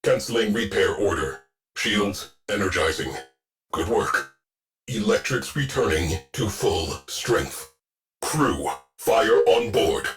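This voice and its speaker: A man voicing a stern, deadpan artificial intelligence that runs a warship in a video game, giving clipped military orders. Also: lowish voice, takes it slowly. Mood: clipped, businesslike, then alert, terse. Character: terse, grim military ship artificial intelligence, male, commanding. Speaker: shipboard tactical officer. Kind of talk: video game mission voice lines.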